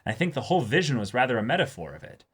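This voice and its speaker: A man hosting a casual reaction show, chatting and reading viewer comments in an animated, halting way that often repeats words. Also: mid-range voice, slightly rough.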